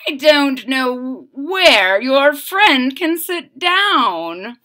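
A gracious, excitable queen from a children's storybook played by a woman reading aloud. The voice is high and bright, with exclamatory phrases that swoop in a drawn-out sing-song. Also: exaggerated voice. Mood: disapproving.

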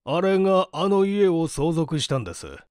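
A man's voice: a little high, very animated, normal speed, a little mellow, clean tone, no echo, studio quality. A man voicing a hearty, commanding but courteous landowner in a mystery audiobook. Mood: wary, deliberate. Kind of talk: audiobook character acting.

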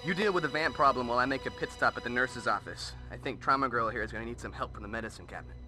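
A man's voice: medium pitch, very fast, over music, lightly acted. An actor playing a goofy, boastful teenage boy sidekick in a video game, jokey and theatrical. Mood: glib. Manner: jokey, theatrical self-praise.

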